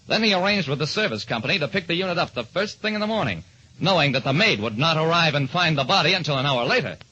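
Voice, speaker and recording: A man's voice: mid-range voice, bright, forceful tone, breathy edge, dull audio. A man narrating and hosting in 1940s radio style, on an old radio recording. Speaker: detective show star and quiz host.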